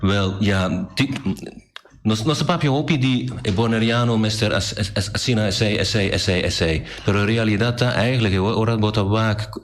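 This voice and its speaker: A man with a rather low voice takes part in a radio studio discussion. His first language is Dutch, and he speaks as a guest who teaches languages. He stops and repeats words often as he looks for the right one. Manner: halting, repeats words while searching.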